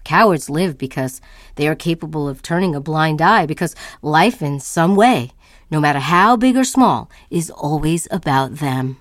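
A woman's voice: deep voice, lively intonation, moderate pace, no room sound, studio quality. A woman performing a restless, anxious artist's first-person monologue in a brooding, emphatic, theatrical way. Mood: brooding, defiant. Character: restless, anxious, self-questioning woman artist, neither old nor young.

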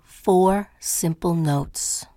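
A woman playing an introspective artist in a poetic dramatic monologue, speaking with deliberate, theatrical phrasing. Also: on the low side, warm tone, slight background noise. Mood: earnest, reflective. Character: introspective woman artist baring her thoughts, by turns tender and defiant.

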